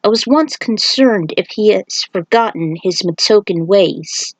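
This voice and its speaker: A woman's voice: medium pitch, expressive, unhurried, no echo, low-quality recording. A woman voicing a solemn male thunder god who speaks in a grave, formal way, as in a storybook. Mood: solemn, instructive. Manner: grave, formal, stately phrasing.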